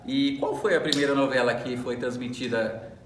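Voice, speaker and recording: A man's voice: mid-range voice, fairly even intonation, normal speed. Man, Brazilian Portuguese accent, student radio co-host, semi-scripted delivery, mid-range voice, echoey room sound. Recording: roomy echo, faint background noise.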